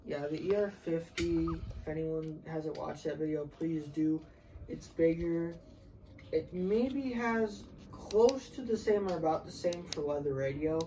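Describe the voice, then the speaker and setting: A mellow-voiced, chatty review co-host talking off the cuff to viewers. The tone of the voice is soft rather than bright, and there is faint noise on the recording. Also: mid-range voice, unhurried, low-quality recording. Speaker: co-host of a product review channel. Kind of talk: casual unscripted product review chat.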